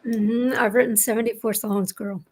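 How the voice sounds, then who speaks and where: A woman with a fairly high voice hosts a relaxed radio talk show. She backs her guest with frequent short yeses and chats easily about her own songwriting. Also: normal speed, breathy edge. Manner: friendly, many short backchannel yeses. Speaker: radio host. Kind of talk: casual radio interview.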